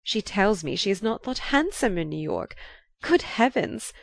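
A woman voicing an effusive, theatrical older marchioness in an audiobook, with a sighing, drawn-out, confiding delivery. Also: mid-range voice, animated, dry recording, lightly acted. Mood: rapturous, dramatic.

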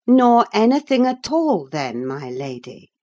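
A woman's voice: medium pitch, sing-song, measured pace, a little mellow, lo-fi sound, voice pushed up. A woman voicing a stern servant scolding her young mistress in an audiobook, grave and sarcastic. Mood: firm bargaining. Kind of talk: audiobook character acting.

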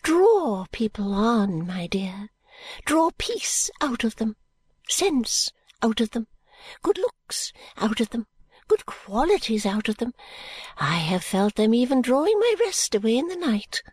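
A frail old woman, rambling and courtly, whose voice leaps up high and then sinks into hushed, secretive whispers, as a woman reads her. She speaks in a lively, up-and-down way and sounds very close and dry. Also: measured pace, breathy edge, dry recording, exaggerated voice. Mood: confiding. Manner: high fluttering swoops dropping into whispers.

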